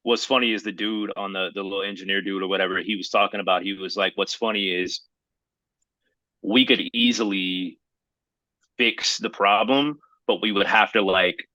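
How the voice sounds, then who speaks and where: An American man in casual podcast conversation, animated and slangy, punctuating his points like he is talking to a buddy. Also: low voice, moderate pace, no echo, rough recording. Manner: animated slangy talk, often saying "bro". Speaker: podcast co-host.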